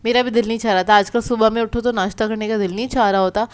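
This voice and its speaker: High, clear-voiced woman with a sore throat. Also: fairly even intonation, fast talker. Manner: chatty, explanatory. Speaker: vlogger narrating her day. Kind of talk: casual conversational vlog voice-over.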